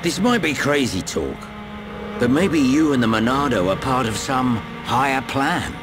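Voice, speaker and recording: A man playing a gruff, blunt middle-aged mentor warrior in a video game cutscene. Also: mid-range voice, lively intonation, unhurried, music in the background, close to an everyday voice. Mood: matter-of-fact. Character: gruff older male mentor, secretly deceitful warrior.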